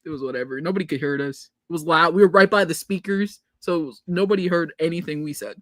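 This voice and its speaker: High-voiced, chatty male podcast guest. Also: fast, a bit bright, dry recording, studio quality. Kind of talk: casual chat.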